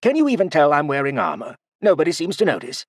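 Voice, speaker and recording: A man's voice: on the high side, huge pitch swings, quick pace, dry recording, studio quality, pitched above the performer's own voice. A man voicing a sly, playful old male cultivator who teases with feigned innocence. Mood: wry.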